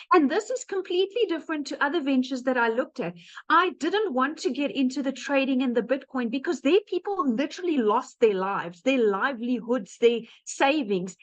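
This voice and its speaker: A woman in her late thirties with a South African accent gives an informal, earnest testimonial to an online audience, speaking directly and emphatically to listeners. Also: high voice. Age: late 30s. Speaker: webinar speaker, digital marketer. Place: online webinar call. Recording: dull lo-fi online call audio.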